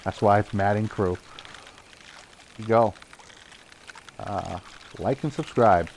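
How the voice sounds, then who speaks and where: Casual young male streamer, Boston accent, lowish pitch, chatty and excitable, faint background hiss. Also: slow pace, gravelly, rough recording. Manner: chatty, excitable at good catches, laughs often. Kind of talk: casual live gameplay commentary.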